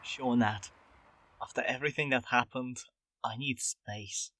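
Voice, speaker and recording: An actor playing a weary but gentle male ex-agent in an audio drama, speaking in an understated, measured way. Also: mid-range voice, a little hiss or hum. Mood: wistful.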